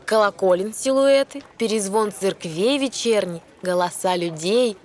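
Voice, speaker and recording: A woman's voice: mid-range voice, a little slow, darkish tone, slight background noise, close to an everyday voice. A woman playing a young mermaid princess, reciting lyrical verse in an audio fairy tale. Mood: dreamy. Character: young mermaid princess sisters recounting sea wonders. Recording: music under the voice.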